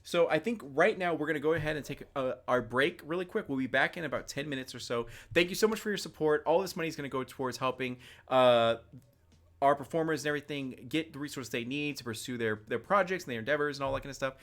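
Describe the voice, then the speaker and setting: A man's voice: a little high, fast talker, fairly bright tone. A man hosting a live-streamed tabletop game as game master, chatty and full of fillers, thanking donors.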